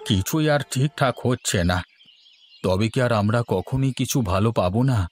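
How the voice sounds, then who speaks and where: A man's voice: mid-range voice, moderate pace, faint music behind, natural voice. A man voicing a poor, earnest farmer in a cartoon story, speaking in a pleading, despairing way. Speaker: voice actor. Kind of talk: Bengali cartoon story acting.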